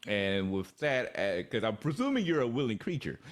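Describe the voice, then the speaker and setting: A man casually narrating his moves as a player in a tabletop game, measured and hesitant. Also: medium pitch, very animated.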